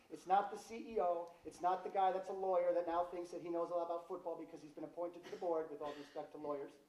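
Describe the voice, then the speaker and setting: A man's voice: fairly high pitch, dark tone. An American man giving a seminar presentation to coaches, speaking directly and posing rhetorical questions. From United States. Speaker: football development presenter. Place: large indoor seminar hall. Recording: roomy hall, distant microphone.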